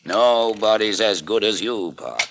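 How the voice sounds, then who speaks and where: A man's voice: lowish voice, expressive, unhurried, gravelly. A man playing a brash, slick stage magician in 1940s radio style, glib and cajoling. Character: brash, slick male stage magician who exposes tricks. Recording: noisy old radio recording.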